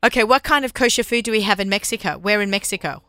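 A woman hosting an interview with a bright, sharp voice. She sounds smooth and crisp, recorded dry and close. She talks in an upbeat, chatty way and praises her guests often. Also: mid-range voice, quick pace, dry recording. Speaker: radio show host. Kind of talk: interview on air.

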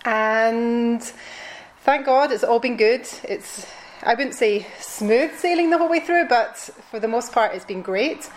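A woman from Scotland with a broad Glasgow accent, talking easily about her work in a relaxed interview. She is in her forties and fills her sentences with ums and quick restarts, friendly and open. A faint hum of room ambience sits under her voice. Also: on the high side, poor audio. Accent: Glaswegian Scottish. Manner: chatty, friendly, with frequent um and uh restarts. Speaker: guest, concierge company owner. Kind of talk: conversational interview.

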